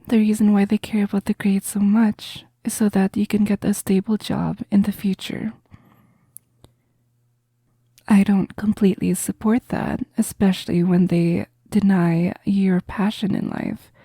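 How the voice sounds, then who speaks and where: A woman performs a kind, understanding female professor in an intimate roleplay audio. Her voice is soft and very breathy, with a slow, gentle, reassuring delivery. The sound is dry and close. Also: mid-range voice, no room sound. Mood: soft reassurance. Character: caring, understanding female math professor counselling a student.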